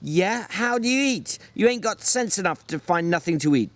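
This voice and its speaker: Irritable, exasperated male drifter played by a man reader for a dramatic audiobook, high-pitched, ranting delivery. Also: animated, normal speed, a bit bright, no echo. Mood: irritated. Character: small, irritable, exasperated ranch drifter man, secretly caring. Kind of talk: dramatic reading of a novel.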